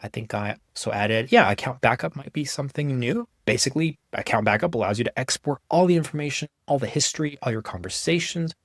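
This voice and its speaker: A man's voice: medium pitch, expressive, fast, hardly any pauses, a bit bright, no echo. Casual young man, dry close sound. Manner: informal, conversational. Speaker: app developer presenting his own software. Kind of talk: casual screencast walkthrough.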